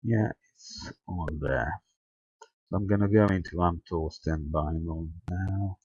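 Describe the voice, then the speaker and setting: Low-voiced, slow, hesitant man demonstrating. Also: a little mellow, slightly rough, no room sound. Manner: hesitant, halting, thinking aloud. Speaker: amateur radio video tutorial presenter. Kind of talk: casual hands-on screen demonstration narration. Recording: dull, lo-fi close sound.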